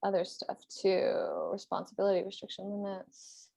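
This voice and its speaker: A woman's voice: medium pitch, sing-song, normal speed, creaky, warm tone, dry recording. A woman with a mid-range voice hosts a casual podcast. She talks conversationally and sometimes reads aloud. The sound is very dry, with no room around it. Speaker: podcast host.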